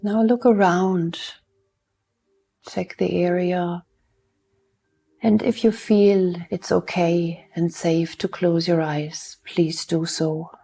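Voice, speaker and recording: A woman leading a guided mindfulness meditation in a soft, soothing manner, unhurried and leaving long pauses between instructions. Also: lowish voice, level tone, creaky.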